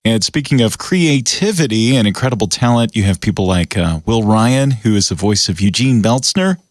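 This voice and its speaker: A man's voice: lowish voice, expressive, normal speed, no echo, studio quality. A man in his forties with an American accent, hosting a casual radio interview. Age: 40s. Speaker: radio show host, interviewer.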